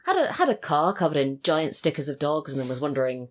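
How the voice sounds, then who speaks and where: A woman's voice: low voice, very animated, fast talker. A British woman talking casually as a podcast guest, sharing opinions and personal anecdotes. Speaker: podcast guest, audio drama writer and voice actor.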